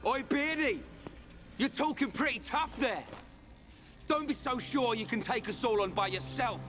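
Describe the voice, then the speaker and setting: A man's voice: very high voice, measured pace. An actor playing a brash, hot-headed young male fighter in a video game. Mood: brash, defiant. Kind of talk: video game cutscene acting. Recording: music behind the voice.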